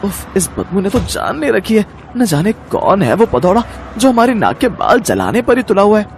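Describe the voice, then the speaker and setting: A man playing an exasperated, scolding man in an animated moral story, reproachful and mocking in manner. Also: sits high, normal speed, vocal fry, slight background noise, full character voice. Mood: disgusted. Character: exasperated, scolding men around a greedy teacher.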